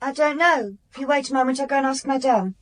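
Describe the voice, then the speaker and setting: An actress playing a formal, deferential housemaid in a radio drama. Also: female voice, sits high, quick pace, close to an everyday voice. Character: deferential housemaid, servant to the lady.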